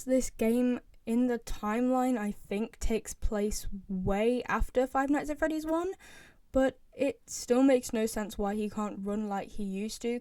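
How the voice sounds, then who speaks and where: A woman's voice: on the high side, warm tone, no room sound, studio quality. A young woman giving casual, opinionated video commentary in an informal, emphatic way, repeating herself for emphasis. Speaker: video creator ranking game voice lines.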